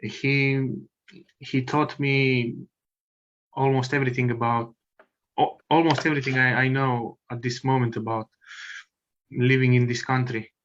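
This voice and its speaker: A man from Romania with a Romanian accent, speaking slowly and hesitantly with many ums on a video call. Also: medium pitch, little pitch movement, a bit bright, lo-fi sound. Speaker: meeting participant.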